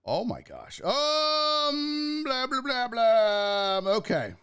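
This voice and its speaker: A man hosting a casual livestream sports talk show, hoarse and raspy from losing his voice, joking crudely and mocking himself as he chats with viewers. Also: extremely high pitch, wildly swooping pitch, drawn-out words, fairly bright tone, dry recording, clean studio sound. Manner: crude, self-mocking banter with chat. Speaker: host.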